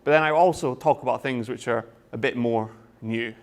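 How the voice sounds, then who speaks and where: Brief: Scottish lecturer, man, 30s, presenting to students, halting with fillers. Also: medium pitch. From Scotland. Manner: hesitant, frequent uh fillers and restarts. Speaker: university lecturer. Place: lecture room.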